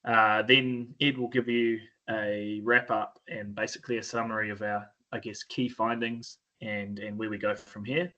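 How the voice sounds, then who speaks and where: A man with a New Zealand accent giving an informal presentation, often pausing mid-phrase in a hesitant way. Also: fairly low pitch, flat delivery, bright, forceful tone, muffled recording. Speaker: sheep and beef farmer, presenter.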